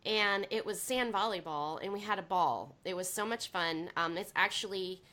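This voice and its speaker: A woman in her forties, loud and rambling, with a head cold. Her voice is bright and forceful and cuts through the chat. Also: mid-range voice, normal speed. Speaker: podcast co-host. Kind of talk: casual chat.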